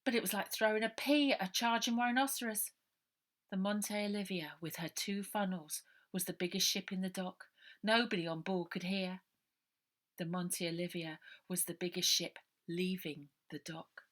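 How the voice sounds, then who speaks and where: Bright-voiced woman reading a children's novel. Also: medium pitch, normal speed. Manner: lively, drops to whispers for suspense. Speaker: author reading her own novel. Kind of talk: storybook narration for children.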